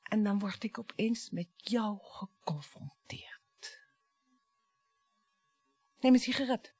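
A woman's voice: mid-range voice, slow pace, breathy edge, no echo, faint music behind. An actress in a radio play playing a bitter, taunting middle-aged wife and mother, sarcastic and dramatic. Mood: combative. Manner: sarcastic, dramatic, swinging between hushed and biting.

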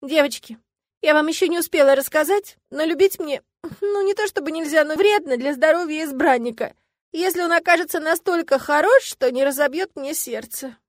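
A woman's voice: sits high, fairly quick, no room sound, studio quality, voice pushed up, natural voice. A woman voicing a lovesick, self-reproaching young witch with a dramatic, weepy delivery in an audiobook. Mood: miserable confession. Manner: wailing, sobbing.

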